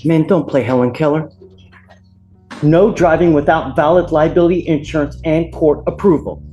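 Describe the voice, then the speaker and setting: A man's voice: fairly high pitch, moderate pace, dark tone, lo-fi sound. A man with an American accent speaking as a judge in a courtroom, stern, blunt and colloquial as he addresses people from the bench. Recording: courtroom audio with background music.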